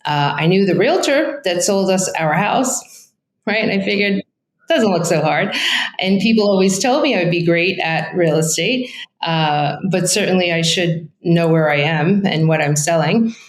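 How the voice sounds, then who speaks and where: A woman's voice: fairly low pitch, animated, normal speed, reverberant, low-quality recording. A middle-aged woman from Brooklyn with a thick Brooklyn accent and Italian as her first language, a real estate agent chatting candidly in an interview with lots of fillers and asides. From Brooklyn, New York. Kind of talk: casual interview.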